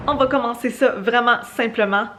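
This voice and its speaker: A young woman with a Québécois French accent talks to her viewers in a bright, chatty vlog voice. Her voice sits a little high for a woman, and faint street noise runs under the recording. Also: moderate pace. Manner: chatty and upbeat, addresses viewers directly. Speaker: travel vlogger. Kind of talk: casual vlog narration.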